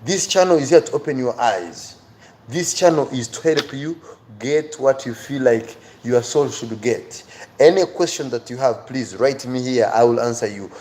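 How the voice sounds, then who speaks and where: A man's voice: mid-range voice, moderate pace, dark tone. Emphatic male livestream teacher, noisy background. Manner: emphatic, repeats phrases for emphasis; addresses viewers directly, pleading and encouraging. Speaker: online teacher and livestream host. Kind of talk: livestream English reading-skills lesson. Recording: clear background noise.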